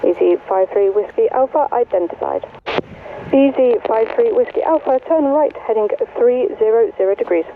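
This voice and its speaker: A woman's voice: on the high side, normal speed. A woman air traffic controller giving clipped, procedural talk-down instructions over aviation radio. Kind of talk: radar talk-down radio phraseology. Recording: tinny, noisy aviation radio transmission.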